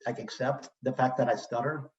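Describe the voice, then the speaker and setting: A man's voice: medium pitch, steady tone, moderate pace. A man who stutters, giving a casual personal talk about his experience, with fillers and light repetitions. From Texas, US. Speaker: presenter at a speech celebration. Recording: roomy, distant, dull sound.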